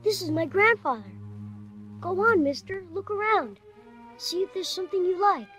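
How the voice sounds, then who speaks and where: A woman acts out a young Chinese boy, earnest and street-smart, for a storybook dramatization. The voice is high and the phrasing casual and insistent. Soft music sits behind it. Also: lively intonation, normal speed. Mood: friendly, curious. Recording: music under the dialogue.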